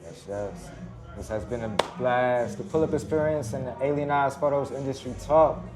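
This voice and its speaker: A man's voice: mid-range voice, moderate pace. A man hosting interviews at a studio meetup, upbeat and introducing his guests. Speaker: interview host. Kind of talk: event interview. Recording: dull sound, music behind.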